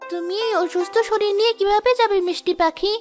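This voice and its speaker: A poor but kind village girl played by a woman talks in a very high, childlike voice. She is gentle and earnest, in the manner of a cartoon moral tale, over clearly audible music. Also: fairly even intonation, brisk. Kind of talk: moral-story cartoon dub.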